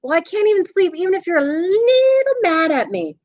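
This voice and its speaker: A woman's voice: extremely high pitch, big pitch swings, a little fast, a little mellow, dry recording, voice pushed up. A woman voicing a little boy who keeps stalling at bedtime, pleading and needy. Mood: whiny. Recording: dull lo-fi recording.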